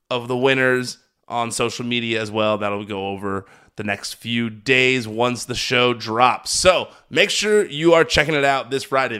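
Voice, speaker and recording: A man's voice: medium pitch, bright, forceful tone, smooth. An American man hosting a podcast, giving a casual, chatty recap with enthusiastic, emphatic delivery. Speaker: podcast host. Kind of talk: casual solo recap commentary on wrestling.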